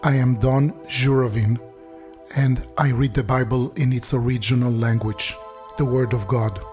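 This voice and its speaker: Man, first language Hebrew, mid-pitched voice, short emphatic lines, light music behind. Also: steady tone, measured pace, darkish tone. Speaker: host. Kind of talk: spoken intro about the Bible.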